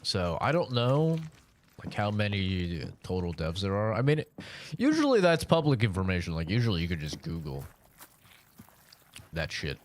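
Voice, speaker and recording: A man streaming a game, talking casually with a raspy, deeper-than-usual morning voice, rambling with lots of "like" and "man". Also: huge pitch swings, normal speed, a little hiss or hum. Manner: rambling, filler-heavy, says "like" and "man" often. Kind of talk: casual gameplay livestream commentary.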